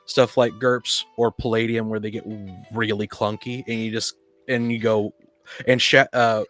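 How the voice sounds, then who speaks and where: Man talking casually, halting and explanatory. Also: mid-range voice, level tone, fairly bright tone, soft background music. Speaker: podcast speaker on tabletop gaming. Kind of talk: casual discussion.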